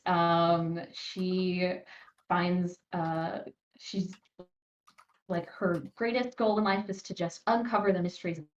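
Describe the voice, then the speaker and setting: A woman playing a tabletop game, speaking casually and hesitantly with frequent pauses. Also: on the low side, highs cut off. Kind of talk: casual character introduction.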